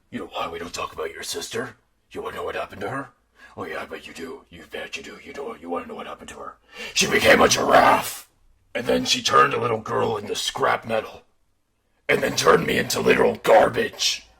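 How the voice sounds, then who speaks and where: An evil undead animatronic dad, gravelly n sharp, bitter theatrical bedtime story asmr roleplay, kinda unhinged. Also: male voice, on the low side, full character voice. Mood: menacing, quiet, then outraged. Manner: theatrical, swinging from menacing murmurs to outraged outbursts.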